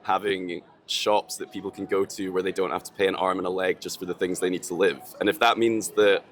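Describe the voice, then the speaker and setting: A student man arguing casually on the street, halting and filling gaps with "like" and "I mean". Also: low-pitched, steady tone. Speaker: student interviewee.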